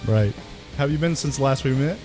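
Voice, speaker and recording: A man's voice: mid-range voice, fast, over music. A man hosting a band podcast in casual chat, easygoing and brief in his replies. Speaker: podcast host, drummer.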